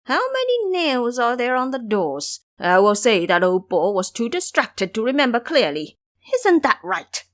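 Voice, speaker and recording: A woman voicing a mischievous, sharp-tongued male monkey disciple in an audio drama, taunting and bossy. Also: a little high, sing-song, unhurried, few pauses, pitched above the performer's own voice. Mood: mocking. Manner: taunting, scolding.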